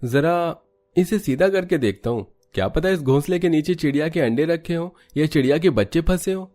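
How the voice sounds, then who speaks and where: A man's voice: on the high side, animated, a little fast, a little mellow, faint music behind, lightly acted. A man voicing an astonished, curious young village visitor in a Hindi cartoon story, exclaiming and questioning in wonder. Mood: amazed, puzzled. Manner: exclamatory, wide-eyed questioning.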